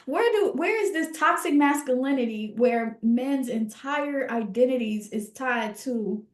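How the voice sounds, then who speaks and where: High-voiced woman podcast host, roomy echo. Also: a little mellow. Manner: emphatic, halting, repeats words for emphasis. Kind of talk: reflective conversational discussion.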